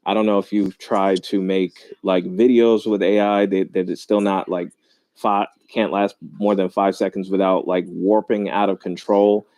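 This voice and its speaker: A skeptical man argues in a casual panel debate, feeling his way through each sentence. His voice is low and mellow. It is recorded close and dry. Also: flat delivery, no echo. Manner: skeptical, drawn-out, searching for words.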